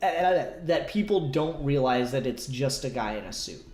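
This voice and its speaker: A man co-hosting a casual comic book discussion, talking in a rambling, animated fan's manner. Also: medium pitch, normal speed, echoey room. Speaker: podcast co-host.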